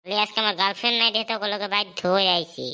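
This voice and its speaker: A woman's voice: medium pitch, fairly even intonation, rapid-fire, bright, forceful tone, clear, resonant tone. A woman voicing a cheeky, scheming truant schoolboy in a comedy cartoon, boastful and teasing, with a rural Bangladeshi Bengali accent.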